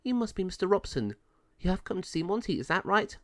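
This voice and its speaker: A man putting on a brisk, chirpy voice for a male dog pound officer, talking fast and cheerfully. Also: sits high, big pitch swings, no echo, studio quality, pitched above the performer's own voice. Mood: cheery.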